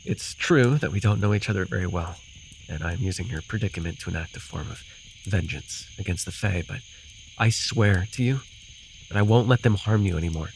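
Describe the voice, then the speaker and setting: A man playing a gruff but caring, easily flustered fantasy forest guide in an immersive ASMR roleplay, speaking hesitantly and soothingly. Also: deep voice, fairly quick, slight background noise, low-quality recording. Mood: earnest, reassuring. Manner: hesitant, soothing, sighing, teasingly defensive.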